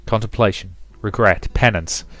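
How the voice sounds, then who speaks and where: A man's voice: lowish voice, moderate pace, a bit bright, dry recording. A man hosting a let's play, reading a visual novel as dramatic, rhythmic narration with some commentary. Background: music.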